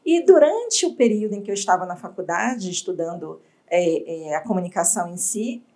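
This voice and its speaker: A woman from Bahia, an entrepreneur telling her story in an interview, reflective and expressive. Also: lowish voice, fairly quick. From Bahia, Brazil. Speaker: entrepreneur guest.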